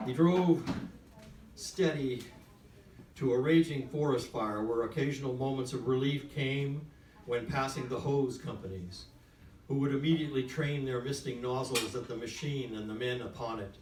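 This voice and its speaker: A man, an author at a live literary event, speaking with measured, emphatic phrasing. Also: medium pitch, a little mellow, slight background noise. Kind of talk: literary reading, then frank talk. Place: whisky bar literary event. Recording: echoey room, distant from mic.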